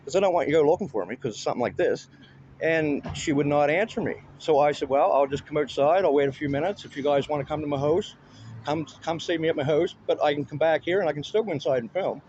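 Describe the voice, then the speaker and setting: A man speaks in a high, soft-edged voice. He argues his points insistently in plain, colloquial language. The sound is muffled, with faint noise, as picked up by a handheld camera. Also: fast. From Canada. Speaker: citizen auditor filming police. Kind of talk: casual argumentative conversation.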